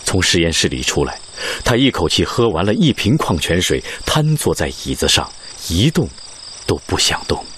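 Deep, expressive male drama narrator. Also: normal speed, slight background noise. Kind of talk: scripted radio drama narration.

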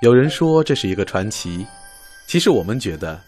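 A man's voice: lowish voice, big pitch swings, a little fast, darkish tone, soft background music. A man in his thirties from Guilin playing a cycling traveler talking to his dog in a radio drama. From Guilin, Guangxi, China. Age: 30s. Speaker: cycling traveler, dog's owner.

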